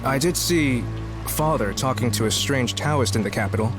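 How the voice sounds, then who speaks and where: A man's voice: medium pitch, normal speed, music in the background, lightly acted. A young man playing the earnest, worried younger son of a general in a video game cutscene, speaking politely. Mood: concerned.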